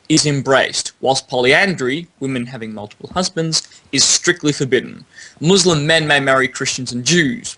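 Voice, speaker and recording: A man leading a panel discussion in a formal, articulate and measured way, as if presenting prepared material. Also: medium pitch, sharp tone, poor audio. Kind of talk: panel discussion, reading prepared text aloud.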